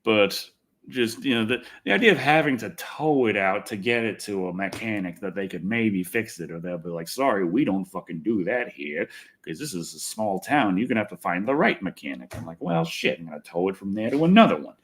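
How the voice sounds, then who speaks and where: Male livestreamer, lively swinging pitch, casual rambling delivery, wry and exasperated with salty language. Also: normal speed, breathy edge. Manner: wry, exasperated, sweary griping. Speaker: livestream host. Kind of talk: casual rambling livestream chat, reading comments.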